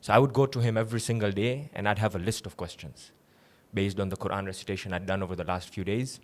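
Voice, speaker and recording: Young male guest from Tanzania, low-pitched and easygoing, recounting his path with a smile. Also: fast. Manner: relaxed storytelling with light laughs. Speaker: guest, seminary student. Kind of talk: casual interview.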